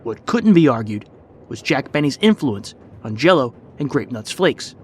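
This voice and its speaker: A man delivering scripted documentary narration with measured, formal phrasing. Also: medium pitch, sing-song, slightly rough. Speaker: podcast narrator.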